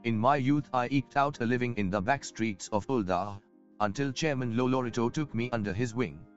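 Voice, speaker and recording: Game merchant, male, acted: polished, self-assured, archaic and eloquent speech, mid-pitched, faint music underneath. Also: normal speed, clear, resonant tone, natural voice. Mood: solemn, reflective. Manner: formal, eloquent, archaic phrasing. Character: courtly, self-assured wealthy merchant, risen from poverty. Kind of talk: scripted video game dialogue. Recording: muffled game audio with background music.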